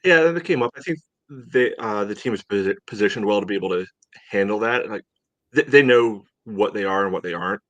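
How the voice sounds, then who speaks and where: A man with a fairly low voice co-hosts a casual sports podcast. He breaks things down in a measured, hedging way, leaning often on "you know". The sound is dry, with little room in it. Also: fairly bright tone, no echo. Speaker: podcast co-host. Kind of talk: casual sports analysis chat.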